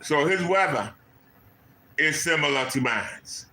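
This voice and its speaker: Bright-voiced man ranting in an echoey room. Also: fairly high pitch, expressive, slow. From Maryland, USA. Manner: incredulous, mocking, repeats points. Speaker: commentary channel host. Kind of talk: ranting commentary on a clip. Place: home room.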